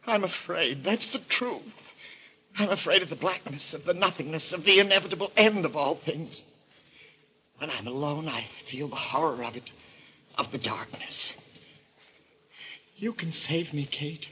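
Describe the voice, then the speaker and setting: An actor playing a mad murderer who believes a destroyer god possesses him, fervent and theatrical, in old radio mystery style. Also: male voice, on the high side, pushed and bright, a little hiss or hum, poor audio. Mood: fearful. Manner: fervent, theatrical, halting when afraid.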